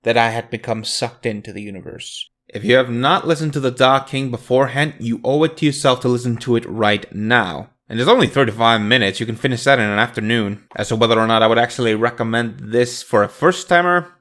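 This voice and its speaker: A man's voice: mid-range voice, fairly quick, hardly any pauses, a bit bright. A man hosting a video review, giving casual scripted commentary in a jokey, emphatic way.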